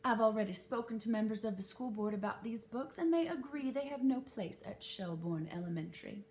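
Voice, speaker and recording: A woman's voice: expressive, fairly quick, a little mellow. A woman voicing a prim, sweetly smug, insistent mother in a children's storybook reading, speaking emphatically. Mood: self-righteous. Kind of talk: children's novel read-aloud. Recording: noisy with low rumble.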